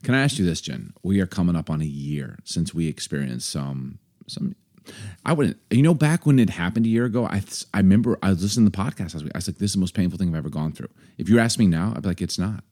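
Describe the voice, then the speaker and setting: A man co-hosting a casual faith podcast, animated and jokey, often laughing. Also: low voice, quick pace. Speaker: podcast co-host.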